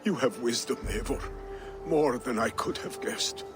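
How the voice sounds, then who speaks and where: An actor playing an old, loyal Viking counsellor, grave and grieving, in solemn game cutscene dialogue. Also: male voice, mid-range voice, a little slow, over music, natural voice. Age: older.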